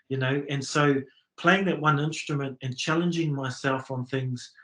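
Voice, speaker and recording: A man's voice: fairly high pitch, fairly bright tone, echoey room, rough recording. A middle-aged man with a New Zealand accent, telling his story earnestly in a casual interview, with many fillers and restarts. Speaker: musician guest.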